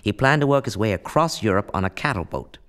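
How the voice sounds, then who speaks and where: A senior angel played by a man in a radio play, with a fairly low voice. He is calm and in charge, measured and kindly as he gives instructions and tells a life story. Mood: storytelling.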